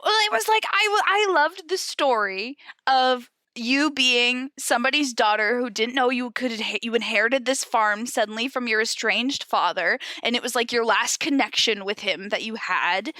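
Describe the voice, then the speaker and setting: A woman in casual conversation as a podcast co-host, giggly and animated in the way she talks. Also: a little high, normal speed, hardly any pauses, no echo, clean studio sound. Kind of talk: casual chat.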